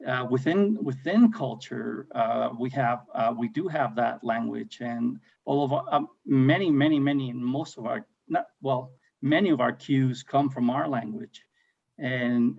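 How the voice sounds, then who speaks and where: A man's voice: medium pitch, vocal fry, highs cut off. A man on a virtual panel, explaining a point hesitantly with many fillers.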